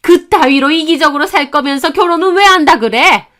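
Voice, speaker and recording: Entitled middle-aged mother-in-law for an audio story, played by a woman: raised pitch, furious, scolding, accusatory shouting. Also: brisk. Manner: shouting, accusatory, firing rhetorical questions. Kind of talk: dramatized storytelling.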